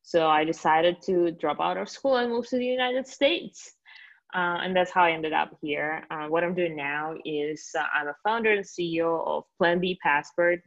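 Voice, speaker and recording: A woman in her late twenties from Russia with a Russian accent, a guest telling stories in a relaxed way with many fillers. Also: on the low side, normal speed, muffled recording. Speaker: guest, company founder. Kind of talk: interview answers.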